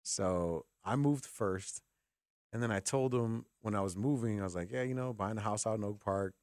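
A man's voice: fairly low pitch, moderate pace, no room sound, studio quality. A man hosting sports radio, chatting casually and telling stories with acted-out dialogue. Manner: animated storytelling with acted-out dialogue. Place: radio studio.

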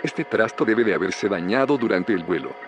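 A man's voice: lowish voice, lively intonation, normal speed, music in the background, natural voice. An actor playing a skeptical, grumbling male scientist in an audio drama, with a sardonic, doubting manner. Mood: baffled.

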